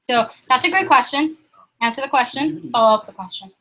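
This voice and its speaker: A young woman leading a sales training meeting, upbeat and quizzing the room with quick questions. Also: sits high, expressive, sharp tone, rough recording.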